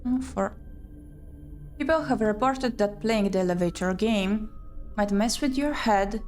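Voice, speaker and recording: A woman narrates a first-person story game with a dramatic storytelling delivery. Her voice sits at a middle pitch, with soft music playing behind her. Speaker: streamer reading a visual novel aloud.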